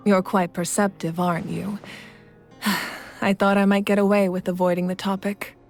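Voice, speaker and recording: A woman playing a composed, reassuring leader of a fantasy nation in a video game, speaking in a measured, formal way. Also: mid-range voice, level tone, soft background music, natural voice. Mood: calm, explanatory.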